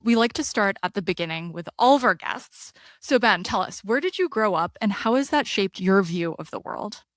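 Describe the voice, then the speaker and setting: A woman's voice: medium pitch, animated, moderate pace, few pauses, fairly bright tone, no echo. A woman hosting an interview podcast, reading a prepared intro and asking questions with engaged interest. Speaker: podcast host.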